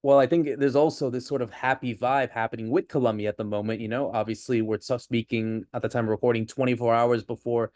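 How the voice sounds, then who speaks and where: A man's voice: medium pitch, quick pace, few pauses, no echo. Upbeat male podcast host, dry sound. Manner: upbeat, energetic introductions. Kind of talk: podcast interview hosting.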